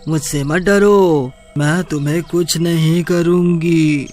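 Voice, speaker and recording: Woman as a slow, low-voiced friendly witch. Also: steady tone, darkish tone, soft background music. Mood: reassuring, amused. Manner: drawn-out, soothing. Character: kindly female witch ghost, slow and reassuring.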